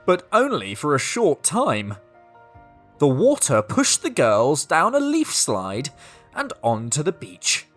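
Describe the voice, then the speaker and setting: A woman narrates a children's story in a highly animated voice. She takes it slowly, with deliberate phrasing and dramatic pauses, over faint music. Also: breathy edge.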